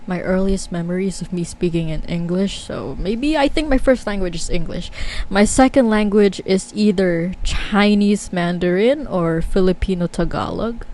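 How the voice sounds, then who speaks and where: A woman's voice: fairly low pitch, mellow, rough recording. A young woman talking casually to camera as a vlogger, chatty and candid with many fillers and frequent laughs.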